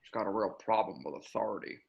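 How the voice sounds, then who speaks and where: A man's voice: fairly low pitch, fast, vocal fry, highs cut off. A man reading the part of a stern, dry, official agency chief in an amateur script read. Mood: muttering, doubtful.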